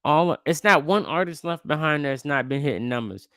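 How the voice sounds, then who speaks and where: A young man in his twenties hosts a podcast, talking in a loose, slangy, rambling way. His voice comes through smooth and clear. The sound is dry, with no room echo. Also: fairly high pitch, lively intonation, moderate pace, fairly bright tone. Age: 20s. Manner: laid-back, slangy, rambling with restarts. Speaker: podcast host. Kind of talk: casual interview chat.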